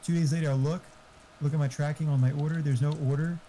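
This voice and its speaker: A man's voice: a little high, fairly even intonation, quick pace, dark tone, low-quality recording. A man chatting casually over an online game, talkative and profane, explaining tech. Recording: faint background noise.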